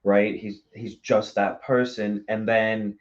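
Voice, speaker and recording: Earnest low-voiced man, muffled audio. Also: flat delivery, slow. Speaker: theater company member and guest. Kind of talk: casual interview talk. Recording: dull, lo-fi sound.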